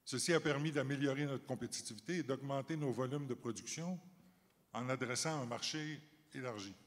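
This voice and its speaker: A middle-aged man from Canada, giving measured, formal panel remarks with occasional hesitations. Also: medium pitch. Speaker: company chief on a panel. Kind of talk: prepared panel remarks, then answering questions. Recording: reverberant conference hall.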